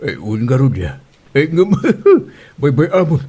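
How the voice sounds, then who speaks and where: A man's voice: mid-range voice, big pitch swings, measured pace, warm tone, husky, full character voice. A man playing a good-natured Filipino village father in a comedy drama, talking in a colloquial, exclaiming way. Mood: amused. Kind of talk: Ilocano comedy radio drama acting.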